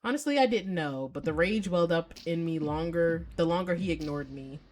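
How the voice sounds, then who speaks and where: A woman's voice: fairly low pitch, expressive, brisk, darkish tone, natural voice. A woman voicing a jilted, hurt and dramatic ex-lover from a romance visual novel, in an aggrieved, accusing manner. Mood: tense, brooding. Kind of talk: visual novel read aloud.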